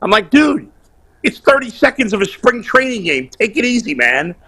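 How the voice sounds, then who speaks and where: Loud, raspy, opinionated sports talk man. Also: extremely high pitch, big pitch swings, normal speed. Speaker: sports talk show panelist calling in from vacation. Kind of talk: casual sports banter and opinion. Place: remote video call while on vacation.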